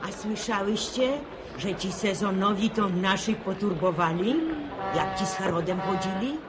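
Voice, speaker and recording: An actress playing a spiteful, moralizing village gossip in a radio serial, with scandalized rustic delivery. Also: female voice, mid-range voice, moderate pace, noisy background, lightly acted. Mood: insinuating, spiteful. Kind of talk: Polish radio serial acting. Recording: old radio drama, music and crowd behind.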